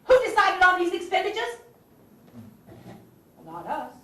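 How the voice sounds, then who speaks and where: An echoey, distant stage recording with faint noise carries an actress as an impassioned Southern lady of the Civil War era. Her voice is very high for a woman. She declaims to the audience like a spy confessing her cause, theatrical, with dramatic pauses and pointed questions. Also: sing-song, bright, forceful tone, exaggerated voice. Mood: indignant, sardonic. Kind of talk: stage play monologue addressed to the audience.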